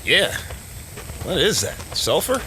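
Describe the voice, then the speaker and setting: An actor playing a chatty, teasing, excitable small-town American deputy with a folksy, colloquial manner in an audio drama. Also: male voice, a little high, slow, noisy background, exaggerated voice. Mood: curious, uneasy. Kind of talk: full-cast audio drama acting. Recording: music bed under the dialogue.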